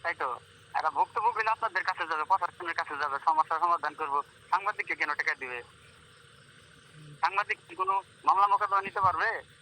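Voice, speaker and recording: A man's voice: high-pitched, a little fast, pauses often, pushed and bright. A man with a Bangladeshi accent speaking earnestly and insistently on a phone call, defending himself. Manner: earnest, insistent, addresses listener as brother. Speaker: local journalist. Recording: phone call with faint noise.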